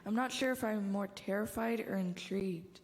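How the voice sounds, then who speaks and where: Young female reader as sarcastic, doubting teen, mid-pitched, stage reading, long hall echo. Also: normal speed, lightly acted. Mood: puzzled, skeptical. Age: teenager or young adult. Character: skeptical, sarcastic teenage girl, easily grossed out. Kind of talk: church reader's theater. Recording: echoey church hall.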